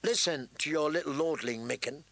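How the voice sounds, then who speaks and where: A man's voice: fairly high pitch, moderate pace, bright, forceful tone, dry recording, pitched above the performer's own voice. A man voicing an arrogant young prince who mocks and threatens his captives. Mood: commanding. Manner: sneering, commanding.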